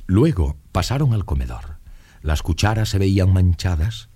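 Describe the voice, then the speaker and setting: A man's voice: deep voice, big pitch swings, normal speed, mellow, husky. A man narrating a children's story in a scripted storytelling style. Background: light music.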